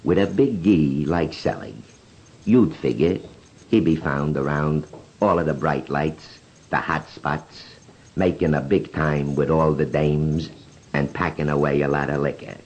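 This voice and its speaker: An American man giving blunt, streetwise testimony in underworld slang, with a gravelly voice, on old radio. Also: extremely low pitch, fairly even intonation, measured pace, darkish tone. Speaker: underworld informant. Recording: noisy old radio recording.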